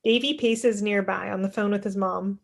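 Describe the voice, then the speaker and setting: A woman's voice: mid-range voice, steady tone, normal speed, gravelly. A young woman reading scripted stage directions as narrator on a video call. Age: young adult.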